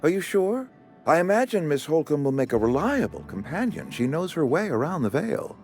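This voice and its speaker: A pompous administrator, swooping pitch. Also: male voice, sing-song, a little fast, darkish tone, soft background music. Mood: courteous. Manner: formal, wordy, officious. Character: pompous, courteous male company outpost administrator. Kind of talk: video game character acting.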